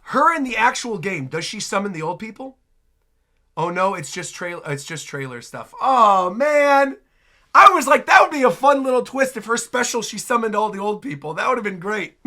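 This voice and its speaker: Animated, high-pitched American man reacting. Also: normal speed. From United States, Midwest. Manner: exclamatory, jokey. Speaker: reaction video host. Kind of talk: casual reaction commentary. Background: trailer audio and music.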